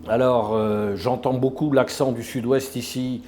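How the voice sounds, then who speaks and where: Older male pack master, slightly Parisian accent, mellow hesitant interview delivery. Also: medium pitch, fairly even intonation, unhurried, slight background noise. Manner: hesitant, many fillers and restarts. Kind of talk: documentary interview.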